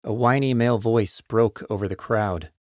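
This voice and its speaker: A man's voice: fairly low pitch, moderate pace, no room sound, studio quality. A man narrating a dramatized audiobook in a scripted, dramatic storytelling style.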